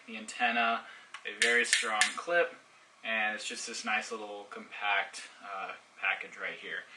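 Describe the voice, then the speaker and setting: Bright-voiced man doing a casual product demo. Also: mid-range voice. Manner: informal, step-by-step explaining. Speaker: product reviewer. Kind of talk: casual unboxing review and how-to demonstration. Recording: distant mic, faint background noise.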